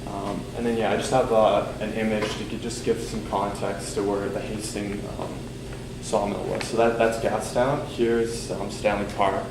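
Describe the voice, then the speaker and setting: Young male student presenter, low monotone, loose filler-heavy delivery, echoey lecture room with air-conditioning hum. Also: normal speed. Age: young adult. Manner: loose, filler-heavy talk with many ums and likes. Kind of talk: casual class presentation.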